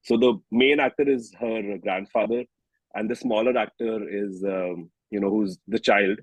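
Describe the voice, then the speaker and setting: A man's voice: fairly low pitch, fairly even intonation, unhurried, breathy edge, no room sound, poor audio. A man in his early thirties with an Indian accent, chatting informally as a singer-songwriter in an interview. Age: early 30s. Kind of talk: casual interview chat.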